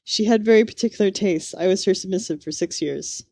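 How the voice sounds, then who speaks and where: A woman's voice: medium pitch, fairly quick, gravelly, a little mellow, dry recording. A woman co-hosting a casual book-discussion podcast, talking in a musing, tentative way. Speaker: podcast co-host.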